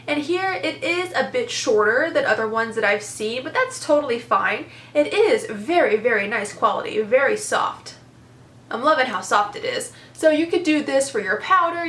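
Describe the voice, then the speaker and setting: A woman's voice: fairly high pitch, fairly bright tone, roomy sound. Congested, chatty young woman reviewing makeup. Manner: chatty, enthusiastic, lots of "super" and "very". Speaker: beauty vlogger. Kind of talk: casual product review chat.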